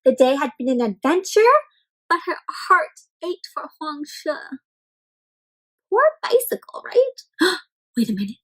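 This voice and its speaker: High voiced lady doing storytime for little kids, super expressive, asks lots of questions. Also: takes it slowly, pauses often, poor audio. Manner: expressive, exclaiming, frequent questions to listeners. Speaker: children's read-aloud storyteller. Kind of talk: interactive picture-book read-aloud for young children.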